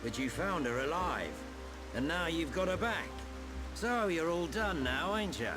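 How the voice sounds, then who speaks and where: Roguish older British soldier, blunt and wry, lively delivery over loud game music. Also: male voice, on the high side, unhurried. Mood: casual, probing. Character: gruff, cocky, roguish older male veteran soldier. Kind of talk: video game cutscene acting.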